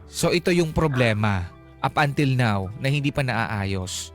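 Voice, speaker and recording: A man's voice: medium pitch, expressive, a little slow. A Filipino man hosting a radio advice show, talking conversationally and asking probing, reflective questions. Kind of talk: conversational advice interview, code-switching. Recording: radio broadcast with music underneath. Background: background music.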